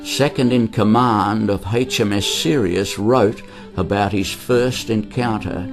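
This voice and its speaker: Low-pitched Australian male narrator. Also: slow pace, faint music behind. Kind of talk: scripted documentary narration.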